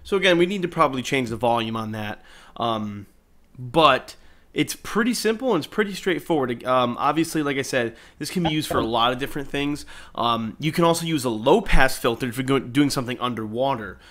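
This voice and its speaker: A man's voice: mid-range voice, lively intonation, brisk, a bit bright. A man narrating a video editing tutorial in an informal, explanatory way. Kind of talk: casual screen-recorded tutorial narration. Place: indoors, voice-over at a computer.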